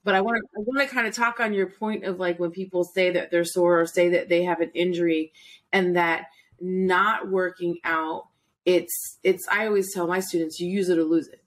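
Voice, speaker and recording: A woman hosting a casual, chatty interview in an informal, animated way, rephrasing as she goes. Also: lowish voice, normal speed. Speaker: interviewer, host and instructor.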